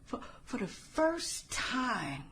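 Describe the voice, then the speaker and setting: An actress plays an earnest, guarded woman reminiscing in a radio drama. Her fairly high voice moves expressively, with sincere, halting phrases. A little background noise sits behind her. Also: very animated, a bit bright, full character voice. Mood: reflective. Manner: hesitant, stammering, sincere. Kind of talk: satirical radio drama acting.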